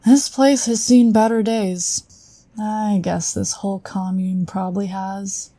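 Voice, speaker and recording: A woman's voice: medium pitch, fairly even intonation, takes it slowly, warm tone, no echo. A woman voicing a blunt, confident psionic agent. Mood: wry. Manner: direct, dry, self-assured. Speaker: character in audio drama.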